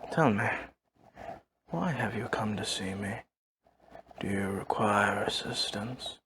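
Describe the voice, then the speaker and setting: A man's voice: on the low side, takes it slowly, a bit bright. A man playing a formal, polite servant to a vampire mistress, courteous and proper, in an audio roleplay. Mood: polite, reserved. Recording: faint background ambient noise.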